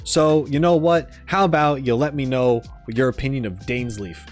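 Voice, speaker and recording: A man's voice: medium pitch, normal speed. Casual male gaming commentator over music. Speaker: gaming reaction video host. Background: music under the voice.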